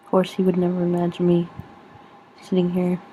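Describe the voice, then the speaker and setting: A woman's voice: on the low side, monotone, fairly quick, dark tone, a little hiss or hum, rough recording. A young woman vlogging at home, rambling casually with a giggle, sniffly from sneezing. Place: at home in front of a screen.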